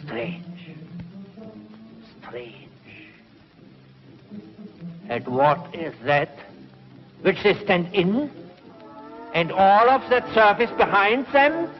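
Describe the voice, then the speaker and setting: A power-mad ruler of an underground world, high-voiced and grandly melodramatic. He draws out his commands slowly and hungers to conquer. Music swells behind him on a muffled old TV soundtrack. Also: animated, full character voice. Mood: wondering. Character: power-mad subterranean despot craving to conquer the surface. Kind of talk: 1950s television serial villain acting.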